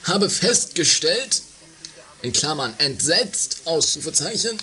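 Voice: medium pitch, sing-song, slow, sharp tone, full character voice, rough recording. A performer playing a hungry, fussy, melodramatic housemate in a comedy radio play, a little hesitant. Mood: solemn, halting. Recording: 1980s homemade radio play, faint music.

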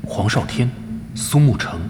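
A man playing a sober, analytical guild commander musing to himself in a measured way in an audio drama. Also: background noise, lightly acted. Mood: grave musing. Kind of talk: Chinese audio drama inner monologue. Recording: clear music bed behind the voice.

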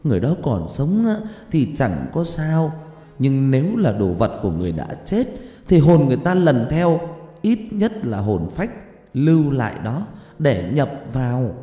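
A man's voice: lively intonation, moderate pace, mellow, close to an everyday voice. A man voicing an angry, superstitious older woman who scolds her son and daughter-in-law. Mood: scolding. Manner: scolding, shrill outbursts. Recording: roomy hall reverb, background music.

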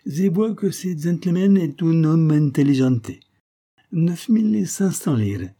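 Man playing a courtly old shopkeeper, pitched up. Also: expressive, unhurried, mellow. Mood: bargaining. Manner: obsequious, salesmanlike, ornate politeness.

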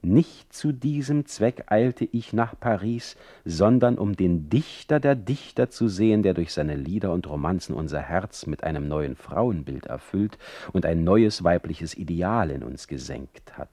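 Guy doing young idealistic gentleman, radio drama, flowery and gallant, touchy, really lively pitch swings. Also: measured pace, dry recording, studio quality, heavily acted. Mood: reproachful, lofty. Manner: florid, high-flown, courtly. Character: young German gentleman admirer, idealistic, gallant, easily offended. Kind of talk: quoted dialogue in literary radio play.

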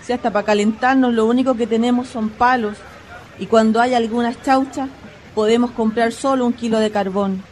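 Woman acting plaintive, high-voiced Chilean slum wife. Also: steady tone, normal speed, a little mellow, slight background noise, close to an everyday voice. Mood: despairing, worried. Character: worried, weary poor shantytown wife. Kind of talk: radio drama acting.

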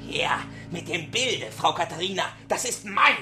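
A man's voice: high-pitched, lively intonation, unhurried, over music, pitched above the performer's own voice. A man voicing a pompous, greedy, hysterical doctor in a dramatised storybook reading, declaiming in agitation. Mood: demanding, greedy.

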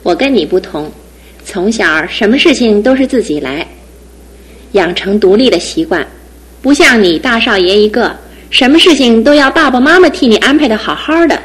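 A woman's voice: on the high side, lively intonation, husky, poor audio. An actress voicing an independent, teasing young female student about to graduate, in a studio radio drama. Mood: playful teasing. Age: young adult. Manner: teasing and brisk, then tender. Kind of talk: 1960s-70s studio radio drama acting.

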